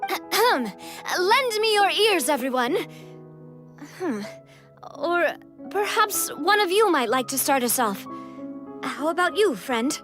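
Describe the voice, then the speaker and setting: A woman's voice: very high voice, big pitch swings, slow, long pauses, over music, exaggerated voice. An actress playing a showy, playful young woman who loves the spotlight, in a video game.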